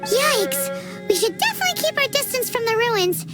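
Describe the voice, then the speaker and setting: An actress voicing a tiny childlike fairy companion in a video game, squeaky, exclamatory and easily alarmed. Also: female voice, big pitch swings, normal speed, over loud music. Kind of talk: cartoonish game voice acting.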